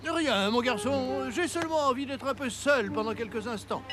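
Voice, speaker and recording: A man's voice: very high-pitched, normal speed. A man voicing a kindly old Santa Claus, mentor to a boy, in a French cartoon. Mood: downcast. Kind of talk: French animated series dubbing. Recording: music underneath.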